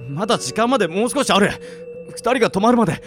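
A man's voice: high voice, animated, quick pace, over music. A man acting as an evasive, anxious young friend with a hesitant delivery in a Japanese audio drama. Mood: desperate, pleading. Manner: hesitant, faltering, casual.

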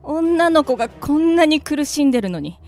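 A wry, sharp-tongued young woman, acted by a woman in a radio comedy. Her voice is high and carries long reverb, with music behind it. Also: sing-song, moderate pace. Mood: accusing. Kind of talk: comedy radio drama acting.

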